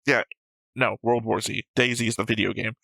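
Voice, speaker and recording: Blunt, sweary man with a bright voice. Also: on the low side, steady tone, normal speed, vocal fry, no room sound. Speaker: host of anime reaction show. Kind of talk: casual chat.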